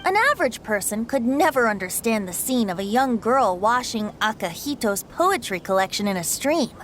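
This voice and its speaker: A woman playing a lively storyteller bard in an anime game, explaining old tales articulately. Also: fairly high pitch, moderate pace, a little hiss or hum. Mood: amused explaining.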